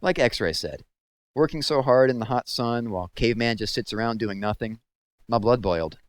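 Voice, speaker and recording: Man playing a surly teenage bully, pitched up. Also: moderate pace, dry recording, lightly acted. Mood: resentful.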